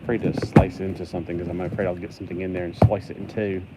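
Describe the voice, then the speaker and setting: A low-pitched American man talks over clearly noisy sound. He rambles casually and playfully, like a hobbyist vlogger opening packages. Much of it sounds like thinking out loud to whoever is watching. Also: little pitch movement, fast. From United States. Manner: rambling, playful, talks to himself, acts out imagined replies. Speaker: toy collector unboxing mail on a vlog. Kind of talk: casual rambling unboxing chat.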